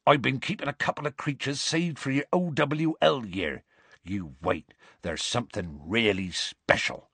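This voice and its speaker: Man playing a husky, genial, gruff giant. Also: mid-range voice, a little slow, fairly bright tone, no room sound, voice pushed up. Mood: cheerfully secretive. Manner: folksy, colloquial, breezily reassuring.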